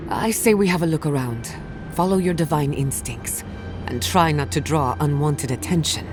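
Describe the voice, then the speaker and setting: Video game companion voiced by a woman: blunt, composed adventurer, very low voice, music behind. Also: moderate pace, natural voice. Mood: grim, composed. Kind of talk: video game character acting.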